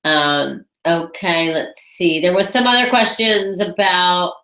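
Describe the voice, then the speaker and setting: A woman with a low, congested voice talks through a webinar Q&A in an echoey room. She chats loosely and thinks aloud between viewer questions. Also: fairly even intonation, slow pace, pushed and bright. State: congested with a head cold. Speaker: webinar host. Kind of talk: casual Q&A on a webinar.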